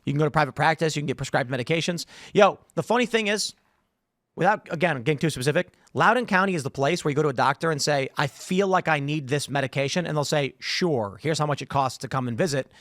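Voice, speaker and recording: Higher-voiced man hosting a podcast. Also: fast talker, fairly bright tone, no room sound, clean studio sound. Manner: opinionated, acts out imagined dialogue. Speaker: podcast host. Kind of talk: casual political panel talk.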